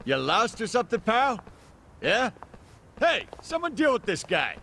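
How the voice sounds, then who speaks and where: A bossy, harried gangster in a video game drama, barking orders at a very high, strained pitch. The tone is sharp and forceful, with faint noise around it. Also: male voice, huge pitch swings, measured pace, creaky, exaggerated voice. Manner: barking, impatient. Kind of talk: English video game dub acting.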